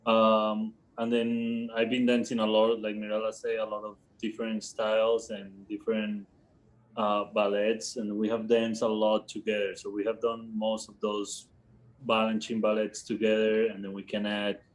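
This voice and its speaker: A man from Colombia, a professional ballet dancer, answering in a relaxed way with some ums. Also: on the low side, moderate pace, low-quality recording. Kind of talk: casual interview answers.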